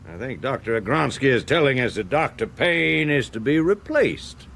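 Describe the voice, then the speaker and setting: An actor playing an anxious American space programme manager in a radio drama, placating, earnest and hesitant. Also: male voice, mid-range voice, expressive, normal speed, gravelly, fairly bright tone, slight background noise. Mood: uneasy, measured.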